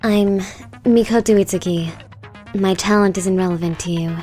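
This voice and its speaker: A brusque student leader played by a woman with a mid-pitched voice, giving curt orders in an audio drama. Music plays clearly behind. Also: fairly even intonation, normal speed, a little mellow, natural voice. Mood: aloof. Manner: curt, commanding. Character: brusque, take-charge teenage student.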